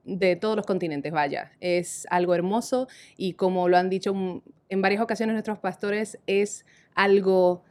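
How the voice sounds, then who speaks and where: A woman from Argentina, a worship leader speaking warmly and enthusiastically in a podcast conversation. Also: medium pitch, steady tone, moderate pace.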